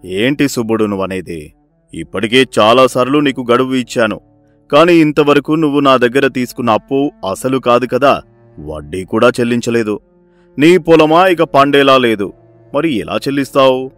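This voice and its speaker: A man voicing a greedy, scheming village-head father who commands his son in a sly, domineering way, for an animated story. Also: mid-range voice, moderate pace, no room sound, faint music behind, lightly acted. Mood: stern.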